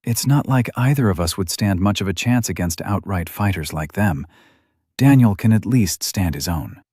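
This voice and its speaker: A man voicing a sensible young woman adventurer in an audiobook, calm, polite and diplomatic. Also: low-pitched, moderate pace, husky, no room sound, clean studio sound. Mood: placating.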